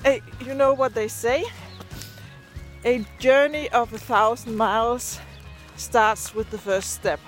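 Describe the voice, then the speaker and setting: Female vlogger, native Danish speaker with Danish accent, slightly high pitch, informal and searching for words, faint music present. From Denmark. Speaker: video and podcast creator. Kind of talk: casual vlog chat. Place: outdoors, on a walk. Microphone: handheld device at arm's length.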